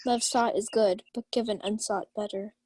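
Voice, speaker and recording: A woman's voice: medium pitch, fairly even intonation, moderate pace, a little mellow, breathy edge, lightly acted. A woman playing a proud, lovestruck young lady of the house in a Shakespeare radio play, formal and declamatory. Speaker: student actor.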